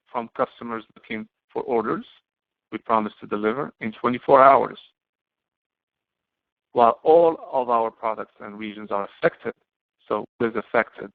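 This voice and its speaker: Thin telephone-grade audio, dry room, male instructor, halting explanatory lecture, question-and-answer phrasing. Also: mid-range voice, creaky, no echo. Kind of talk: explanatory online lecture to students. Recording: muffled, band-limited, phone-like audio.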